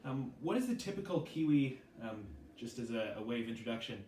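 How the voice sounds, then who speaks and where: A man's voice: mid-range voice, very animated, a little mellow. A man interviewing a guest, asking long questions with many ums and restarts. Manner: halting, many ums and restarts, brief backchannels. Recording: echoey room, mic at a distance, faint hiss.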